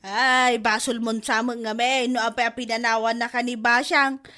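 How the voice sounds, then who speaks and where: A woman's voice: fairly high pitch, flat delivery, normal speed. A woman playing a nagging mother. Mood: scolding. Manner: loud nagging exclamations. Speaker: Nana, a mother. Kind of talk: amateur comedy drama acting.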